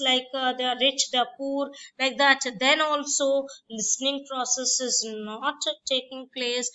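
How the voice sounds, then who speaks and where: A woman's voice: high-pitched, bright, forceful tone. A woman lecturer explaining points in a classroom lecture, repeating herself with fillers. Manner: repetitive explanatory delivery with fillers. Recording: dull lo-fi recording.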